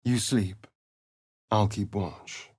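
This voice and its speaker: A man's voice: lowish voice, steady tone, very slow, darkish tone, husky, no echo, studio quality, heavily acted. An actor playing a sly, vain, sardonic male vampire rogue in a video game, with an arch, drawling, theatrical delivery. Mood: wry, subdued. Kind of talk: theatrical video game character lines and narration.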